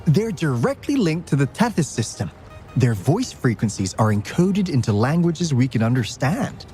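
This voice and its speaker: Man acting a suave, lively sci-fi guide. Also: medium pitch, moderate pace, close to an everyday voice. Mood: calm, explanatory. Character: suave male guide of a secretive sci-fi organization. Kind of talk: video game voice acting. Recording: game music faintly behind.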